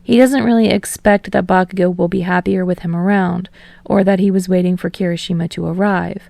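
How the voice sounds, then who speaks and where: A woman's voice: lowish voice, fairly even intonation, fairly quick, gravelly, warm tone, dry recording. A woman reading fiction aloud as an audiobook narrator, in a scripted storytelling style.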